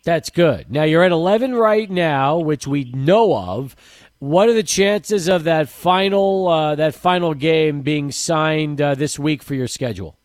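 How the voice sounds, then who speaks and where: A man hosts sports talk radio with a slightly raised pitch and an easy, chatty manner. He is close on a dry studio mic and sums up his guest's points with agreeable asides. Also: unhurried, no echo. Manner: chatty, agreeable, leading questions. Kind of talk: radio interview.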